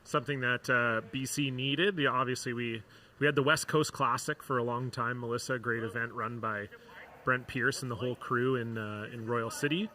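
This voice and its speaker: A man doing relaxed, chatty play-by-play commentary for a curling broadcast. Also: medium pitch, normal speed. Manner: relaxed, chatty, reads sponsor lists. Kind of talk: sports commentary.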